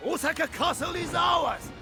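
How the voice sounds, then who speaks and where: An actor playing a fierce samurai officer rallying his troops in a video game, commanding and urgent, with a strained gravelly voice. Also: very high-pitched, moderate pace, heavily acted. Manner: commanding, urgent, shouted battle cries. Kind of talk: dramatic video game battle acting. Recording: loud music underneath. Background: dramatic music.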